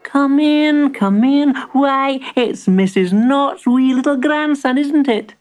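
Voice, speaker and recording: A woman's voice: high voice, slow pace, a little mellow, faint music behind, voice pushed up. A woman voicing a jolly, teasing male shopkeeper in a children's story. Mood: welcoming. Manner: chuckling, teasing.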